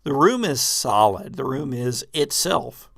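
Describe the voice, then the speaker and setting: A man acting as game master for a tabletop role-playing game, improvising narration and table chat in halting, deliberate phrases. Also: mid-range voice, animated, vocal fry, a bit bright, no room sound, studio quality.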